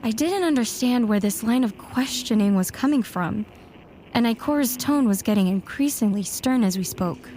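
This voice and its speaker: A woman's voice: fairly high pitch, animated, moderate pace, mellow, a little hiss or hum, close to an everyday voice. A woman acting a young, self-assured warlock student who narrates and speaks in a fan audio drama. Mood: narrating.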